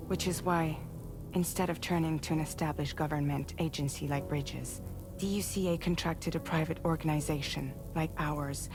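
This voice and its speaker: Composed low voiced woman in a cinematic video game, earnest n persuasive, explains stuff carefully, music behind her. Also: creaky. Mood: reassuring, persuasive. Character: composed, persuasive woman recruiting a freelance courier.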